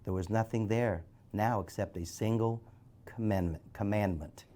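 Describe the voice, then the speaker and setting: Low-voiced man reading a novel aloud. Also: normal speed, dark tone. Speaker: guest reader.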